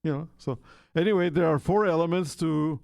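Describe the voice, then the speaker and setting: Man, from Costa Rica, radio host, gravelly, rambling with fillers, dry close mic. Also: on the high side, brisk, a little mellow, dry recording. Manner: rambling, frequent fillers and restarts. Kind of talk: casual radio talk.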